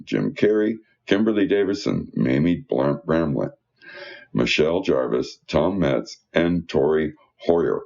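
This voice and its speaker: A man's voice: a bass voice, gravelly, a little mellow. A man reading church newsletter material aloud, now and then stumbling over his words, in a dull, muffled recording. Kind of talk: read-aloud church announcements and prayer.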